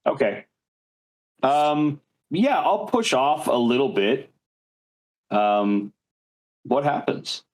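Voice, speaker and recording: A man of average pitch takes part in a tabletop role-playing game as a player. He talks casually, working out his character's actions out loud in a deliberate way. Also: expressive.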